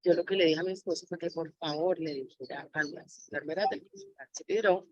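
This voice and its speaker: A woman's voice: sits low, fairly quick, no room sound, muffled recording. A woman speaking reflectively as an interviewee, sharing personal experience as a mother. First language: Spanish.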